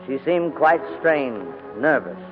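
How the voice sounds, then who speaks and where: A man acting an elderly, flustered English gentleman in 1940s radio drama, with a fussy, formal, sometimes stammering delivery. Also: fairly high pitch, big pitch swings, slow, over music. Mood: narrating, composed. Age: older. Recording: tinny, noisy old 1940s radio recording.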